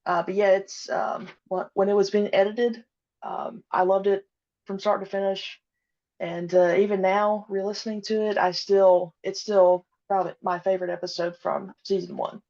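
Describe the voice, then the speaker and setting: Female, thirties, halting conversational interviewee, medium pitch, muffled low-fidelity sound. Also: little pitch movement, mellow. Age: 30s. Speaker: podcast guest, audio drama creator.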